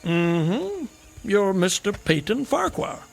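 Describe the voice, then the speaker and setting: Raspy, high-pitched garrulous drifter. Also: male voice, big pitch swings, takes it slowly, soft background music, exaggerated voice. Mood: teasing, curious. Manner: theatrical, wry, ornate wordy phrasing. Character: garrulous, whimsical hard-drinking drifter with florid speech. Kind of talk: radio drama acting.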